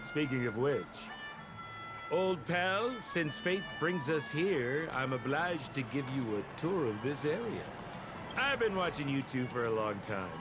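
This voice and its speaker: An actor playing a sly, smooth-talking young swindler in a video game, flamboyant and ingratiating like an oily salesman. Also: mid-range voice, big pitch swings, unhurried. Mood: sly, teasing. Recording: music behind the voice.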